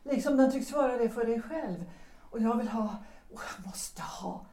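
Woman doing a tired disillusioned wife, low mellow voice, whispery, roomy echo. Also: lightly acted. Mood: bitter. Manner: earnest, slow, often sinking to a whisper. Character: disillusioned wife who rejects an old lover. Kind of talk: audio drama.

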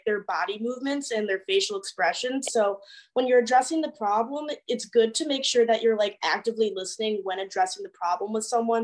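Young adult woman, mid pitch, earnest, casual filler-heavy delivery. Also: poor audio. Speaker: panelist. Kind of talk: casual panel discussion.

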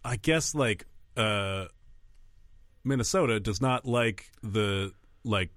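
A man's voice: on the low side, slow pace, dry recording, studio quality. A man in casual chat, telling an anecdote with lots of fillers and laughter. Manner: animated storytelling, many fillers.

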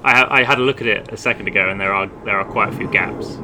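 A man's voice: lowish voice, fast talker, noisy background. A man in casual, bantering conversation as a podcast contributor.

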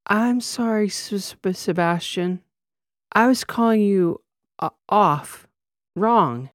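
A man's voice: high voice, no room sound, clean studio sound, voice pushed up. A man putting on a slurring drunk socialite woman. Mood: drunk pleading. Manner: slurred, wheedling, drawn-out words. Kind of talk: audiobook character voice.

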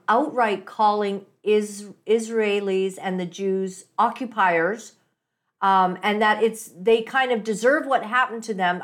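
A woman hosting a podcast, asking her guest questions in a casual, hesitant way with many fillers. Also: mid-range voice. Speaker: podcast host.

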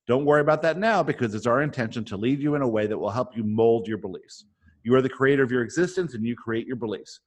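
A man hosting a discussion in a casual, explanatory way, as if thinking his points through aloud. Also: mid-range voice. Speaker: host of a book club discussion. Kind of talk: casual spiritual self-help discussion with some reading aloud.

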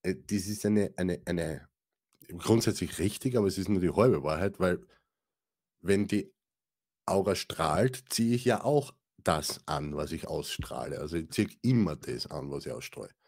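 A man's voice: low-pitched, measured pace, a little mellow. A man co-hosting a spiritual podcast, rambling in colloquial talk with many restarts. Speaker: podcast co-host. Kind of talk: casual spiritual chat.

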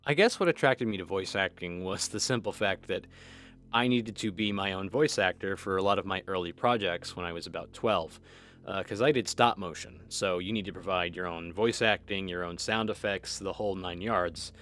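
A man's voice: low voice, moderate pace, fairly bright tone, a little hiss or hum. A man giving a conversational recorded testimonial as a voice actor and writer.

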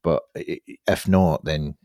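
Very deep-voiced man chatting football. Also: steady tone, unhurried, darkish tone, no room sound, studio quality. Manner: thoughtful, hedging, many restarts. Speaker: football podcast co-host. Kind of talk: casual football chat.

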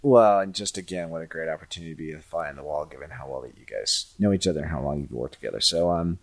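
A man with a low voice who co-hosts a podcast casually. He keeps to brief reactions and quick handoffs to the next segment. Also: animated, no room sound. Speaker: podcast co-host.

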